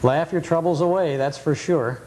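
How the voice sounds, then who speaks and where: An American man hosting a casual nostalgia interview on television, often pausing hesitantly as he phrases his questions. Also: fairly high pitch, darkish tone. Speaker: host of a nostalgia TV show. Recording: noisy 1980s cable TV recording.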